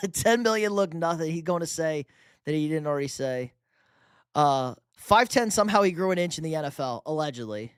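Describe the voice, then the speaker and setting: Fast-chatting male sports streamer. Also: a little high, lively intonation, clear, resonant tone, dry recording, clean studio sound. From New York. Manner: loose, profane banter answering chat. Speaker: livestream host. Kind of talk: casual live stream chat reading viewer questions.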